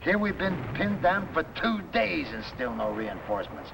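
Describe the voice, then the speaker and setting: A man's voice: fairly high pitch, very animated, normal speed, vocal fry, full character voice. A male actor playing a griping, slangy American GI in a 1950s film comedy. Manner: slangy, complaining. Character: griping American GI, sergeant's hungry pal. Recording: very noisy old film soundtrack.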